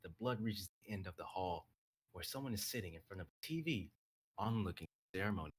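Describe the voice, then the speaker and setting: A man's voice: low-pitched, lively intonation, a little fast, breathy edge. A young man on a live stream, reading his own dramatic script and chatting casually about it. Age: young adult.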